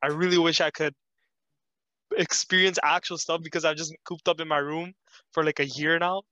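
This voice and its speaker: A man's voice: on the high side, little pitch movement, brisk, sharp tone, no room sound, highs cut off. A twenty-year-old man talking earnestly in a casual chat about student life. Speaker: student podcast co-host.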